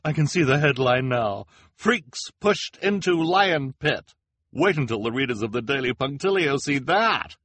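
A man voicing an excitable, gushing woman reporter from a storybook, hungry for sensation. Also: medium pitch, unhurried, slightly rough, no echo, pitched above the performer's own voice. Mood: gushing excitement. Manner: gushing, squealing, thrilled.

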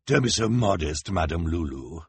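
A man's voice: medium pitch, very animated, normal speed, fairly bright tone, slightly rough, no room sound, pitched above the performer's own voice, full character voice. A man voicing a scheming, cowardly villain in a storybook, with an oily, self-important, evasive manner. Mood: oily flattery. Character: scheming theatrical male villain, slippery and cowardly.

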